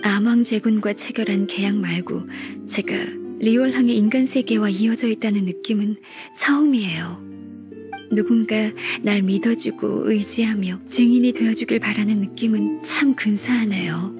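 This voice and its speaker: A woman playing a gentle, earnest, modest young secretary in a video game, speaking softly and politely. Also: medium pitch, music in the background, lightly acted. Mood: touched, tender. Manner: soft, polite, measured.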